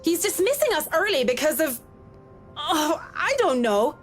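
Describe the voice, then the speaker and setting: A woman's voice: high-pitched, very animated, unhurried, soft background music. An actress playing a blunt, wry working woman in a video game, frank and casually sardonic. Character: blunt, wry female worker unafraid of her boss. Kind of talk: visual novel voice acting.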